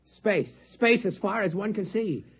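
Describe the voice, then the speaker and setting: A man's voice: sits high, measured pace, creaky, husky, exaggerated voice. A man acting in a 1950s radio drama as a visionary scientist-explorer of a tiny underwater race, grave and declamatory, earnest and driven. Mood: awed, resolute. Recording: muffled, roomy 1950s radio broadcast.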